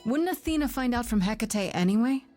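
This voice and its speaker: An actress playing a young muse heroine in a video game, casual and measured, with a dryly sceptical way of asking questions. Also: female voice, on the high side, expressive, dark tone, soft background music, close to an everyday voice. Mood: questioning. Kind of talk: naturalistic video game voice acting.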